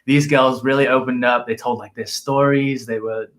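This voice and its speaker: Brief: easygoing guy, mid-pitched, talks loosely with lots of "like". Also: normal speed. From Australia. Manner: relaxed, chatty, uses "like" a lot. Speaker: guest, entrepreneur. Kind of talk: casual livestream chat. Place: café in Seoul.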